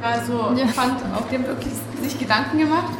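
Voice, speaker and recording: A woman's voice: high-pitched, normal speed, background noise. A young woman on a youth jury, talking eagerly about a play with many fillers. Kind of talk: casual spoken review. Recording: distant, long hall reverb, crowd behind.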